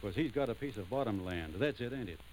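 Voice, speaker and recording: A man's voice: fairly low pitch, lively intonation, fast talker, a little mellow, a little hiss or hum, lightly acted, low-quality recording. An actor playing a stubborn, lovestruck young backwoods man with a rural Southern US accent, in old radio drama style. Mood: proud, stubborn.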